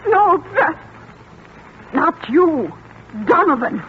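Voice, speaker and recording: Casting: wounded, devoted wife, high-pitched and deliberate, 1940s radio thriller, dull old recording. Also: male voice, sing-song, slight background noise, heavily acted. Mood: accusing anguish. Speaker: radio drama actor.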